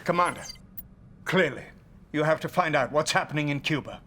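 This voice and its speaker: An actor playing a stern, indignant military leader in a dramatic video game cutscene, speaking with emphatic authority. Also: male voice, fairly high pitch, a little fast, bright, forceful tone, slight background noise. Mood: firm. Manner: emphatic, commanding. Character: stern Allied military leader giving orders, indignant and vengeful. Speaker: military commander character.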